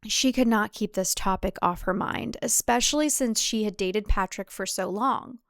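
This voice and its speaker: A woman's voice: medium pitch, moderate pace, no room sound, studio quality. A woman reading scripted comic thriller narration as an audiobook narrator.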